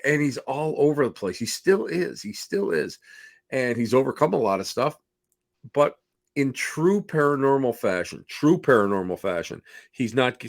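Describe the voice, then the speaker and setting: A middle-aged man with a slightly hoarse voice, chatting in a folksy, effusive, rambling way as he opens a talk show as its host. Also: medium pitch, fairly bright tone, no echo, clean studio sound. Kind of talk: casual rambling show introduction.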